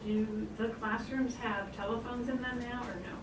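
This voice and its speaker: A woman's voice: a little high, steady tone, normal speed. A woman board member asking practical questions in a plain, direct way at a public meeting. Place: indoor meeting room. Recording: roomy, noisy, distant meeting recording.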